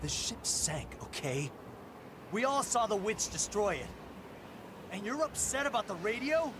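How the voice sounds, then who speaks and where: A brash, jumpy young soldier. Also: male voice, high voice, big pitch swings, measured pace, noisy background. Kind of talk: game voice acting. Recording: game audio with score.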